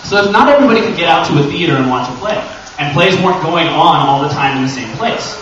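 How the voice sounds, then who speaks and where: A man's voice: on the high side, animated, fast, fairly bright tone. A man giving an informal, joking live panel talk to an audience, with theatrical rhetorical questions. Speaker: convention panel presenter. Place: large echoey indoor panel room. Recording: echoey room from far off, muffled, noisy.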